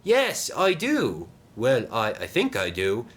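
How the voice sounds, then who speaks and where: A man voicing a dim, hesitant, excitable male diesel sidekick in a children's story. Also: medium pitch, slow, voice pushed up, heavily acted. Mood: unsure. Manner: dithering, excitable.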